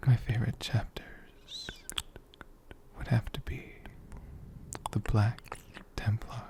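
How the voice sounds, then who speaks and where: An ASMR host whispering softly and gently, with a breathy voice and deliberate pauses. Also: mid-range voice, steady tone. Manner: gentle, slow, deliberate, with pauses mid-sentence. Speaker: host of an ASMR channel answering viewer questions. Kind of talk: soft-spoken whispered ASMR.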